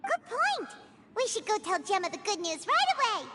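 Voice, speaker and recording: Video game fairy sidekick: childlike, squeaky, extremely high, very animated, chirpy and kind. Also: female voice, moderate pace, very noisy, heavily acted. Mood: excited. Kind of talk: English video game voice acting.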